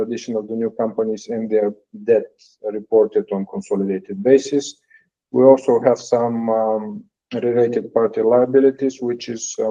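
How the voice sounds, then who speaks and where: Man, very mellow dark tone, halting executive earnings presentation, dull lo-fi call audio. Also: mid-range voice. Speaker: company executive presenting financial results.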